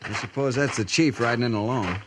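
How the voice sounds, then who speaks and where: A man's voice: mid-range voice, a little fast, close to an everyday voice. A man playing an earnest, humane young cavalry lieutenant, insistent, in 1950s radio western style. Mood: uneasy. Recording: noisy vintage radio recording with clicks.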